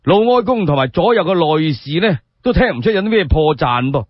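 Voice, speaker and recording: A man's voice: fairly high pitch, animated, brisk, breathy edge, no echo, dull audio. A man with a Cantonese accent telling historical tales as a solo radio storyteller, colloquial and dramatic.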